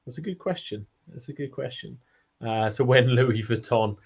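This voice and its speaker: A man's voice: mid-range voice, normal speed, lo-fi sound, a little hiss or hum. A man hosting a casual online Q&A, rambling and self-deprecating, often repeating his phrases. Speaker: host of an online community Q&A. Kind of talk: casual chat, reading out audience questions.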